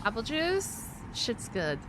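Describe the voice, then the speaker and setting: Animated woman streamer chatting casually. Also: a little high, slow, noisy background. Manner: excitable, exclamatory, slangy and sweary. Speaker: streamer reacting to a video. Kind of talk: casual livestream reaction chat.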